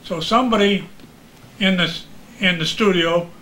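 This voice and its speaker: A man's voice: high-pitched, measured pace, sharp tone, poor audio. An older man, a veteran radio announcer, reminiscing in casual chat.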